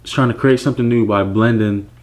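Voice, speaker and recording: A man from Nigeria, raised in the United States, telling his personal story as a clothing brand founder, reflective and relaxed with frequent fillers and restarts. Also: fairly low pitch, fast.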